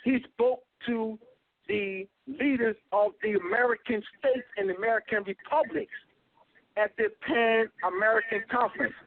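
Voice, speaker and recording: A man giving an insistent talk, stopping often to fill pauses with ums and uhs, heard over a phone line. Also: very high voice, creaky, a little hiss or hum. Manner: insistent, repetitive, many filler ums and uhs. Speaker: guest speaker. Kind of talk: call-in lecture, talking to a host. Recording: tinny phone line, dry.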